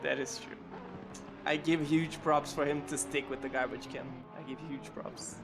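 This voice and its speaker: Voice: medium pitch, moderate pace, music in the background. A co-commentator chatting casually during an online race broadcast, joking and laughing often. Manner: playful, laughs often, lively swooping exclamations.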